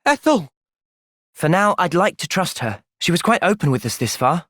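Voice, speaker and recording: A man voicing an earnest, thoughtful young soldier in a video game, with an English accent and a measured, sincere delivery. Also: high voice, sing-song, fairly bright tone, no echo, clean studio sound, heavily acted. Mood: urgent, impassioned. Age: young adult. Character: earnest, thoughtful young male soldier, gentle but resolute. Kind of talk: video game cutscene acting.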